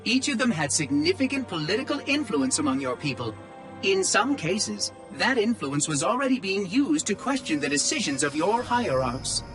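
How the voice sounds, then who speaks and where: Sardonic machine caretaker, high and bouncy, fussy and wry, game cutscene with music under. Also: male voice, very animated, moderate pace. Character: chatty, sardonic male AI monitor. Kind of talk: video game cutscene acting.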